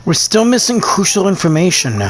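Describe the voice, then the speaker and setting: A man's voice: sits high, sing-song, fairly quick, faint music behind. A man voicing a composed, practical companion on an investigation in a video game.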